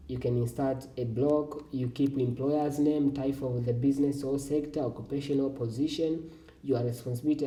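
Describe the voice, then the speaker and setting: Tanzanian man, dark, roomy and distant. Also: mid-range voice. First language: Swahili. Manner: halting, self-correcting explanation. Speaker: scholarship tutorial host. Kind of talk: screen-recording walkthrough.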